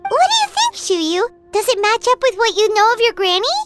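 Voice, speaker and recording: A woman voicing a tiny, cheerful, excitable fairy companion girl in a video game. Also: very high voice, moderate pace, loud music behind the voice. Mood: curious. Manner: excitable, childlike, chirpy.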